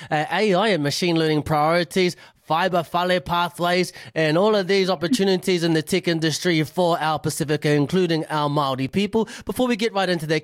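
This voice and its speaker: A man, hosting a radio interview in a relaxed and friendly way, with drawn-out pauses. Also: on the high side, no room sound, studio quality. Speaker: radio host.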